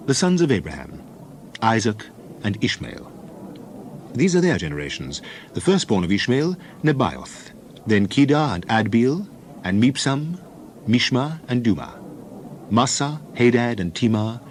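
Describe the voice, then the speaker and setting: A man's voice: mid-range voice, a little hiss or hum. A man narrating dramatized scripture, declaiming it in short, separated phrases.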